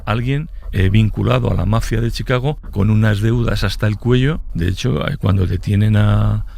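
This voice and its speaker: A man from giving unscripted expert commentary in an interview, halting and repeating words. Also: fairly low pitch, vocal fry, darkish tone, a little hiss or hum.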